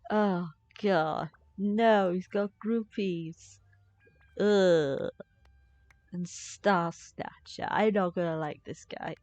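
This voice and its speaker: A woman's voice: fairly low pitch, extremely slow, darkish tone, dry recording, soft background music. A woman streaming a video game with casual, rambling commentary, half talking to herself. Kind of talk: casual live gameplay commentary. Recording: dry close sound, slightly dull band.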